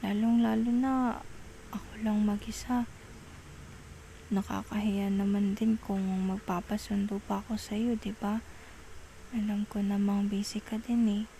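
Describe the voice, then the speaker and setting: A woman with a Filipino accent playing an affectionate, apologetic girlfriend in an ASMR roleplay, talking softly and coaxingly, often half-whispering. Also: mid-range voice, flat delivery, a little slow, gravelly, pauses often. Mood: apologetic, explaining. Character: affectionate, apologetic girlfriend soothing a jealous partner. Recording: faint background noise.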